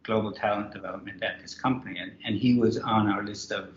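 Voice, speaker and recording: A man's voice: lowish voice, steady tone, quick pace, a little hiss or hum. A man, co-author of a book, talking loosely with many restarts in a virtual author interview. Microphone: video call. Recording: roomy, muffled video call.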